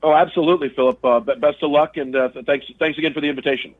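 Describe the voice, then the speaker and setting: A man talking sports as a guest analyst in a conversational, halting way, often repeating words mid-sentence. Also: fairly high pitch, fairly even intonation, music in the background. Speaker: sports radio host and play-by-play broadcaster, podcast guest. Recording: tinny, phone-like remote connection.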